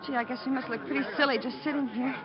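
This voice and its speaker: A woman's voice: high voice, fairly even intonation, very fast, crowd noise. An actress playing a plucky, forthright young American woman client in a 1940s radio detective drama. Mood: flustered, pert. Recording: dull vintage radio broadcast.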